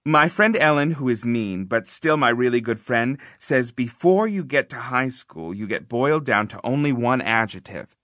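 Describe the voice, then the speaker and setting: A man reading a first-person children's novel as an audiobook narrator, expressive, confiding and conversational, with emphatic phrasing. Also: mid-range voice, moderate pace, few pauses, no echo, studio quality.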